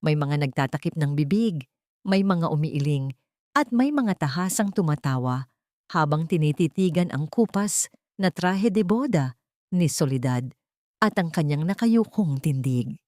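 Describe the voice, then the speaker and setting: A woman's voice: deep voice, expressive, a little slow, a little mellow, dry recording, studio quality. A woman narrator reading a dramatic scripted story in a solemn, emotive way.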